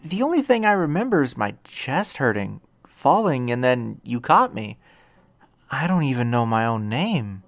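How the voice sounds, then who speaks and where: A man's voice: mid-range voice, big pitch swings, moderate pace, dry recording. A man voicing a weak, grateful, confused young woman in a narrated story, hesitant and polite. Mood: grateful, frail. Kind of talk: acted audiobook dialogue.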